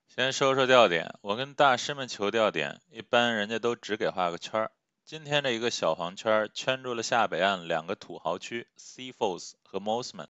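A man's voice: on the low side, normal speed, clear, resonant tone, no echo, lo-fi sound. Wry man with a burr. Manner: wry, joking, deadpan asides. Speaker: fishing vlogger. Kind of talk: humorous voice-over narration.

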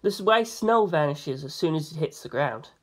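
Amateur audiobook narrator, medium pitch, informal and expressive first-person storytelling. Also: moderate pace, slightly rough. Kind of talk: reading a novel aloud as first-person narration.

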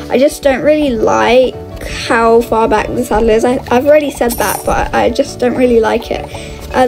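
Female gaming host, high voice, casual and exclamatory, thinking aloud, faint music underneath. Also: gravelly. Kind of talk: casual gameplay commentary.

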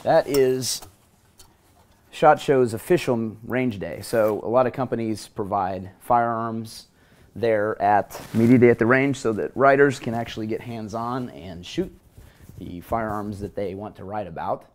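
A man in his thirties with a hoarse voice, hosting a casual gear review vlog from a hotel room and balcony. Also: fairly low pitch, measured pace. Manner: energetic, talks with his hands. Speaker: host.

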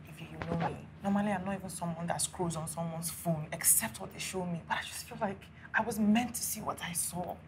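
A woman's voice: lowish voice, steady tone, fairly quick, hardly any pauses, noisy background, lightly acted. An actress playing an earnest young woman friend breaking hard news in a hesitant, apologetic, pleading way. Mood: solemn. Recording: clear music under the voice.

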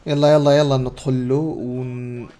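A man's voice: medium pitch, level tone, fairly quick, dark tone, faint music behind. Young Levantine man casually commenting on gameplay. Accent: Levantine Arabic. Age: young adult. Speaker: gaming streamer. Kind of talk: casual game playthrough commentary, retelling dialogue. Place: indoors at a computer.

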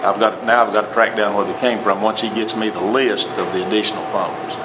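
Local official, Tennessee accent, plainspoken and repetitive, speaking into a table mic in a noisy council room. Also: male voice, on the low side, steady tone, brisk. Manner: plainspoken, repeats himself while explaining. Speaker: city official reporting to council. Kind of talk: council meeting report. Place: council chamber with audience. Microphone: table microphone. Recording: noisy council chamber recording.

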